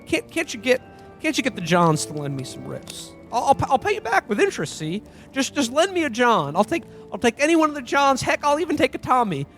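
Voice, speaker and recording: Man acting a high-pitched, stammering desperate podcaster. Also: wildly swooping pitch, brisk, fairly bright tone, full character voice. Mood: pleading, flustered. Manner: stammering, folksy, flustered. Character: desperate, pleading podcaster in old holiday-film style. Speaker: podcast host. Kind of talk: comedic parody radio play acting. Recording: music behind the voice.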